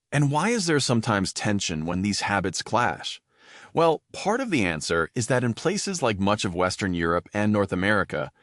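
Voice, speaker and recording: A man with a low voice narrates an educational podcast, his pitch rising and falling in an animated way. He pauses to explain new words simply. The sound is dry and close. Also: no echo. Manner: explains and defines vocabulary words plainly. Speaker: podcast host.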